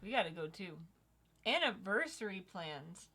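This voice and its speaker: Bright, giggly young woman streaming. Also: medium pitch, very animated, moderate pace. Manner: giggly, reads chat messages aloud. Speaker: co-host of a livestream. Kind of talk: casual chat reading live comments.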